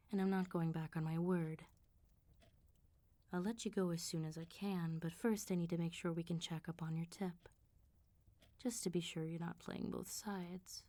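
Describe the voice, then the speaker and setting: A vampire mob boss played by a woman speaks in a low, dark, mellow voice, soft and close as in ASMR. She is controlled and smooth-talking. Her teasing calm carries a quiet threat. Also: natural voice. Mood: teasing, controlling.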